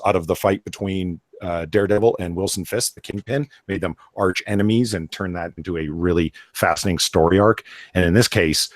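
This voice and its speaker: Deep-voiced man talking comics, close dry sound. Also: dry recording. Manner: sweary, opinionated. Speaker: panelist on a comics livestream. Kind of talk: casual comic book review chat.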